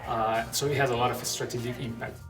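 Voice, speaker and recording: A man's voice: mid-range voice, flat delivery, fast, over music. A man, an MBA student giving thoughtful interview answers and repeating words as he searches for them. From Chile. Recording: roomy, distant mic.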